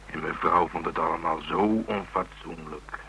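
Low-voiced, dogged police inspector. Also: male voice, steady tone, moderate pace, creaky, sharp tone, a little hiss or hum, exaggerated voice, rough recording. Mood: wry, speculating. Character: gruff, dogged, sardonic male police inspector. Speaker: actor in a radio drama. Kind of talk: radio drama dialogue.

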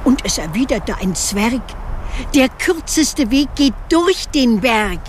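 An actress playing a storyteller who recites a rhymed legend in a measured storybook way for a radio play. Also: female voice, a little high, animated, slight background noise. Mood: measured storytelling. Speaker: narrator. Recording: clear music under the voice.

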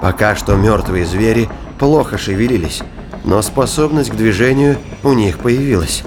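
A man's voice: fairly low pitch, moderate pace, background noise. A man reading scripted dramatic narration for a sci-fi thriller audio drama. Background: music.

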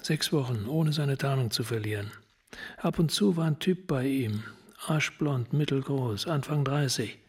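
An actor playing a focused, terse homicide detective with a clipped manner in a radio crime drama. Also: male voice, medium pitch, measured pace, darkish tone, husky. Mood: businesslike.

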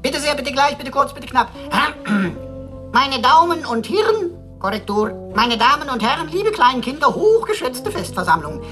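A cheeky, chattering robot sidekick from a sci-fi radio play. The voice sits high and swoops around freely. It delivers flowery, mock-pompous wordplay with playful relish, over light music. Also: female voice, big pitch swings, a little slow, fairly bright tone, heavily acted. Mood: mock-formal lecturing.

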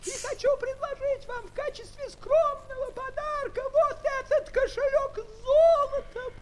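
A man acting a tiny, frightened, courteous traveller in a fairy-tale audio play, his voice sped up into a pleading squeak. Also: fairly even intonation, normal speed, very noisy. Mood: courteous, grateful. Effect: sped-up, pitch-raised tiny voice.

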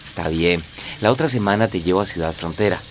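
An actor as a gently teasing husband in a radio serial. He has a lowish voice, affectionate and soothing, with faint noise behind. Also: fast talker, lightly acted. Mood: teasing, indulgent. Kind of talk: radio drama acting.

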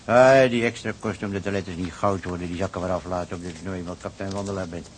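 Casting: male actor as a fussy rural gravedigger and headstone seller, lowish voice, wheedling and repetitive, faint rumbling noise behind. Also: steady tone, fast, lightly acted. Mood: put out, reckoning. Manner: chatty, hesitant, wheedling salesman, repetitive. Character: fussy, money-minded village gravedigger selling headstones.

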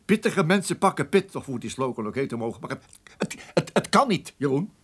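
A man's voice: fairly high pitch, sing-song, brisk, a bit bright, slightly rough, full character voice. An actor playing an exasperated, sarcastic male boss who rants and stammers with indignation in a radio play.

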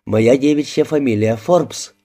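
Male reader doing a composed, politely teasing young woman in a storybook; mellow, dry irony. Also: medium pitch, unhurried, close to an everyday voice. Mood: wry.

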